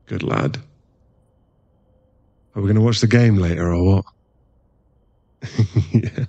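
A laddish werewolf boyfriend played by a man in an ASMR roleplay, teasing and affectionate. His voice sits on the low side and takes its time, recorded close and dry. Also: mellow, no room sound, dull audio, full character voice. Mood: casual, laddish. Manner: casual, laddish, teasing, drops into murmured asides.

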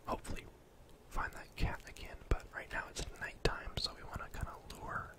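Casting: young male gaming streamer, whispered ASMR, casual and rambling, close-miked. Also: a little fast. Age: young adult. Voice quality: soft, breathy whisper. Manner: casual, rambling, thinking aloud. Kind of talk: whispered ASMR gameplay commentary.

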